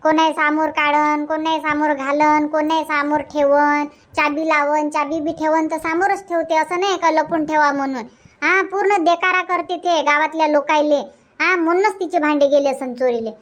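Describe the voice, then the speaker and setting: A woman's voice: high voice, steady tone, moderate pace, close to an everyday voice. A woman voicing a scolding, boastful village housewife in a comic cartoon, nagging emphatically in a Varhadi Marathi accent.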